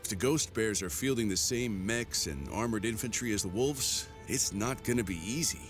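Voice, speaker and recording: A grave mercenary commander from a sci-fi video game, played by a male actor. His low voice is measured and terse, a battle-worn soldier weighing grim odds, with music clearly behind him. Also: close to an everyday voice. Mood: grim resolve. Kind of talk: cinematic game voice acting.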